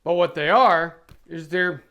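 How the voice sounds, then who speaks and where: High-pitched American man, casual reviewer. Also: unhurried, bright, forceful tone, highs cut off. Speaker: video host and product reviewer. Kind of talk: casual product review talking to camera.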